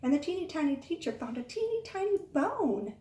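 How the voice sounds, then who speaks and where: A woman reading a picture book aloud to young children, expressive, pausing to ask the listeners questions. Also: sits high. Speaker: children's storytime reader. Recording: echoey room, far from mic.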